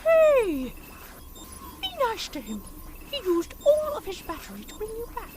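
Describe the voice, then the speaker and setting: Confident heroine played by a woman for audio drama: very animated, high-pitched, triumphant. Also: measured pace, very noisy, full character voice. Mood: relieved, fond. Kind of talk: fan audio drama acting.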